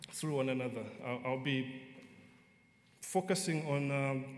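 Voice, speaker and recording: Male guest preacher, Malawian accent, mid-pitched, halting and deliberate storytelling delivery, big reverberant hall. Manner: deliberate, halting phrasing with pauses. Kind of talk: sermon told as narrative. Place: large hall, speaking to a gathering. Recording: echoey hall with long reverb.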